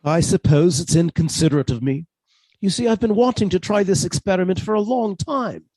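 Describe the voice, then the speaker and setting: An actor playing a meek, henpecked professor, mild and deferential, in a suspense radio play. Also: male voice, sits high, brisk, darkish tone, no room sound, rough recording. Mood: meek, resigned. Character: meek, henpecked British professor husband, quietly fussy.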